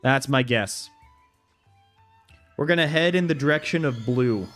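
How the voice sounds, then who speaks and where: A man's voice: medium pitch, unhurried, a bit bright, soft background music. A young man streaming a video game, chatting casually with his viewers, reading their messages aloud and laughing easily. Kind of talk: casual gameplay commentary.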